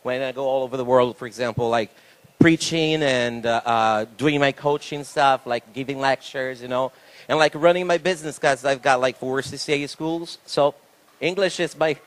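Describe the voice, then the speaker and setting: Relaxed Brazilian man, handheld mic. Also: mid-range voice, normal speed. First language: Portuguese. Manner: relaxed, laughs easily, many fillers like "you know". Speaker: guest, school owner and pastor. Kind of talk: interview in English. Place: indoor talk show stage on a sofa.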